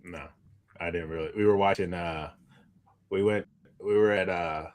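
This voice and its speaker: Lower-voiced man in casual podcast chat. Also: fairly even intonation, normal speed. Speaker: podcast co-host.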